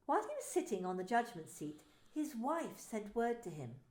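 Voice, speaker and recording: A woman's voice: on the high side, very animated, normal speed, a little mellow. A woman minister narrating a dramatised scripture story, telling it expressively. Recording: roomy echo.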